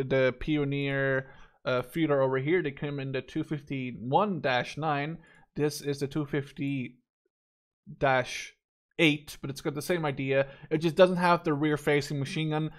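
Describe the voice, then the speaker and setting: A man in his twenties, a native Dutch speaker, giving a casual unscripted game review, thinking aloud and repeating words for emphasis. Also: a little high. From Netherlands. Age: 20s. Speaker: gaming YouTuber.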